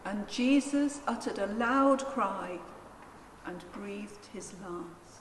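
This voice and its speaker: A woman narrating a Gospel in a solemn, measured and deliberate reading style. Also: medium pitch. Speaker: scripture reader, narrator. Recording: echoey church hall, faint noise.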